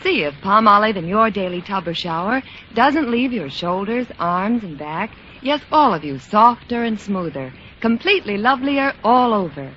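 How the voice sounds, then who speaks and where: A woman's voice: mid-range voice, sing-song, normal speed, few pauses. A woman with an American accent reading an enticing old-time radio commercial as spokeswoman. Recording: muffled old radio broadcast, faint noise.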